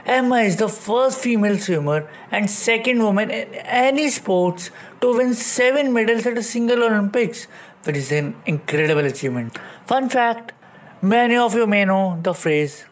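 High-voiced male sports reporter. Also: lively intonation, normal speed. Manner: read-out bulletin delivery.

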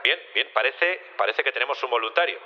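Casting: actor as a formal male judge, mid-pitched, officious courtroom rulings for audio drama, dulled recording. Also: fairly quick, lo-fi sound, background noise, close to an everyday voice. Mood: formal, stern. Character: formal male judge presiding over a trial. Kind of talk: Spanish audio fiction acting.

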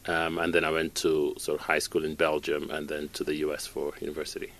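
Male guest, forties, from Cameroon; deep, rapid, self-correcting studio talk. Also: monotone, a bit bright. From born in Cameroon, raised in Canada. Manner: talks in quick bursts, repeats and restarts phrases. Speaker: guest, business executive. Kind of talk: relaxed studio interview. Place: radio studio.

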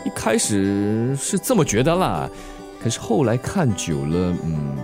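A man's voice: on the low side, wildly swooping pitch, a little slow, exaggerated voice. An actor playing a bashful, evasive man with a Singaporean accent, casually defensive in a radio drama. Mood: flustered, defensive. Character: evasive man, bashfully denying his soft spot. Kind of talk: radio drama acting, conversational. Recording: guitar music behind the voice.

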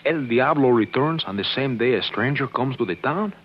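An actor playing a loyal older sidekick, earnest and deferential, in 1950s radio adventure style. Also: male voice, medium pitch, animated, normal speed, lightly acted. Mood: devoted, reassuring. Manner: deferential, earnest, speaking in simple broken English. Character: loyal older Mexican sidekick, once his master's companion. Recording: muffled, noisy old radio recording.

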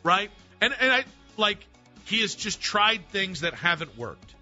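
Man, sports radio, very bright sharp tone, slightly high, measured, cites stats, muffled broadcast, faint music. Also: big pitch swings. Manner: measured, stat-citing argument. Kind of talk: sports talk radio commentary.

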